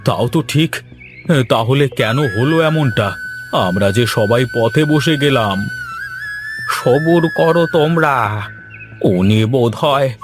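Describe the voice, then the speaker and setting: A man playing kindly village farmers in a children's cartoon folktale, with slow, dramatic delivery. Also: medium pitch, close to an everyday voice. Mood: calm, advising. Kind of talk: Bengali children's cartoon story dialogue. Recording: music under the voice.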